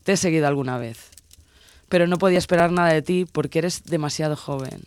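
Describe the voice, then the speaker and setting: Guy acting as a young sailor woman in an audio story, intense n possessive, teasing, voice on the high side. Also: fast, clear, resonant tone, dry recording, natural voice. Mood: earnest, intimate. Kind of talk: dramatised audio story dialogue.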